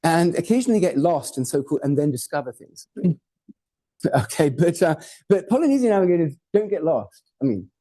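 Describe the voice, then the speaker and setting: A man lectures to a hall audience in a very dark, mellow voice. His delivery is loose and conversational, full of asides, jokes and the occasional curse. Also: medium pitch. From born in Hawaii, raised in Portugal and England. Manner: informal, digressive, jokey, swears for effect. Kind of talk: academic talk with slides.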